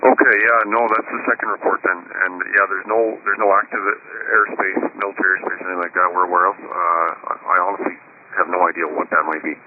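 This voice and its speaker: A man's voice: low-pitched, level tone, a little fast, phone-quality sound. A man working as an air traffic controller, talking to pilots over the radio, often hesitating and repeating words. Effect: radio transmission. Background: aviation radio channel.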